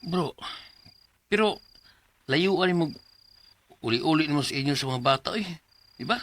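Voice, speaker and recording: A man's voice: on the high side, expressive, unhurried, a bit bright. A man acting a generous, sympathetic workmate in a Bisaya radio drama, talking colloquially and insistently with a Filipino Bisaya accent.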